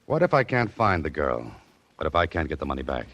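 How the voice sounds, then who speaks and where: A man's voice: rumbling low, very animated, brisk, fairly bright tone, exaggerated voice. An actor playing a wisecracking, hard-boiled detective who narrates his own case in an old radio drama. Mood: wry. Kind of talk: 1940s radio acting.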